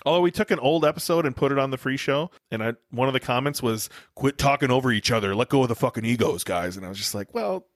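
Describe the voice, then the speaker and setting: American guy podcasting, talks fast, jokey and teasing, mid pitch. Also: no room sound, clean studio sound. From United States, Wisconsin. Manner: teasing, irreverent. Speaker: podcast co-host. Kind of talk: casual bantering podcast talk.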